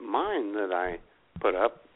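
A man's voice: on the low side, very animated, takes it slowly. A man co-hosting a casual comedy podcast, teasing his partner and calling himself an optimist. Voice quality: gravelly, teasing, self-described optimist. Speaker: podcast co-host. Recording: tinny phone-like audio.

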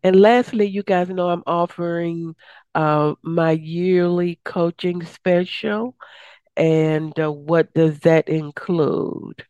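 A woman hosting a radio show, her voice raspy and hoarse from days of talking, chatty and upbeat. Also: fairly even intonation, takes it slowly, warm tone, no room sound, dull audio. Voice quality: raspy, deeper than usual. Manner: chatty, upbeat, promotional, with many uh fillers. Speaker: radio show host and success coach. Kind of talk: casual radio talk.

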